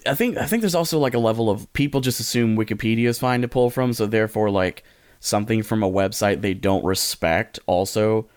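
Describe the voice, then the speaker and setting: A man's voice: on the low side, brisk, darkish tone, clear, resonant tone, dry recording, studio quality. A man co-hosting a podcast, chatting casually and rewording his thoughts as he thinks aloud. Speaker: podcast co-host.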